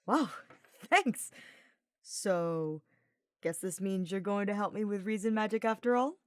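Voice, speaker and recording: A woman's voice: mid-range voice, a little slow, no echo, clean studio sound, lightly acted. A woman voicing a cheeky, easygoing young male knight in an audiobook story, with a slangy, teasing manner. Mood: friendly.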